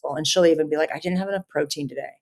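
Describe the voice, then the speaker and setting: A woman's voice: low voice, very fast, a little mellow. A woman in her fifties, a guest on a podcast interview, talking earnestly in long, run-on thoughts. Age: 50s. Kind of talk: podcast interview, casual conversation.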